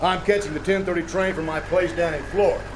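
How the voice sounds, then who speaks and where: A man's voice: high voice, fairly even intonation, fast talker, natural voice. A man playing a pompous, wealthy, complaining passenger in a radio comedy, haughty and huffy with stammers. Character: pompous, grumbling, self-important wealthy male bus passenger. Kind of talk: old-time radio comedy acting. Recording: noisy live theater recording.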